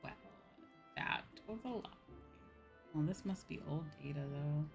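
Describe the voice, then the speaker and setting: A woman with a low-pitched voice is streaming a game. She talks casually to her viewers and muses aloud, often repeating her own phrases. Faint music plays behind her. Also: a little slow, vocal fry, lo-fi sound. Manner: musing aloud, repeating herself. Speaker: livestreamer playing an online game. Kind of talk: casual livestream chat while gaming.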